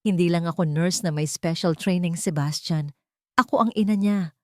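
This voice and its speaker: A woman's voice: fairly low pitch, normal speed, warm tone, no echo, studio quality, close to an everyday voice. A woman voicing a grieving, resolute young mother and nurse in an audio story, with earnest, emphatic phrasing. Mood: hard, determined.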